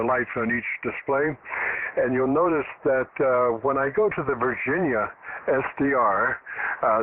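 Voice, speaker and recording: A man heard over a radio transmission, an amateur operator giving casual technical advice in a halting, deliberate way. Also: mid-range voice. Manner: halting, deliberate, frequent pauses. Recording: noisy, narrow-band shortwave radio reception.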